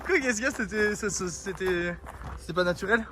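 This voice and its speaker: A man's voice: sits high, fairly quick, background noise. A young man streaming live outdoors, chatting and joking with his viewers, a bit out of breath. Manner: joking, teasing, talks to chat. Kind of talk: casual IRL stream chat. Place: outdoors in a town street.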